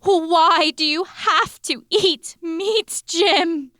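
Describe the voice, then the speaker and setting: Woman playing a high-voiced, slow, wounded shopkeeper. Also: sharp tone, dry recording, studio quality, heavily acted. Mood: wounded, plaintive. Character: scheming, wounded woman health-food shopkeeper. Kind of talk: melodramatic comedic drama dialogue.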